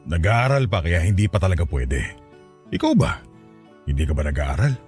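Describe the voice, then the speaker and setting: Stern soldier dad, Filipino accent, very low voice, swooping intonation, fatherly and teasing, radio drama. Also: big pitch swings, normal speed, a little mellow, soft background music. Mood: lecturing. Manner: authoritative, fatherly, lecturing. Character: stern, teasing soldier father sizing up his daughter's suitor. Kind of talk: Tagalog radio drama acting.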